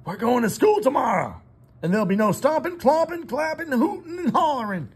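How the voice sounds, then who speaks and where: A man's voice: big pitch swings, fairly quick, slightly rough, full character voice. A man doing an eager, fussy farmer. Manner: high, loud and emphatic.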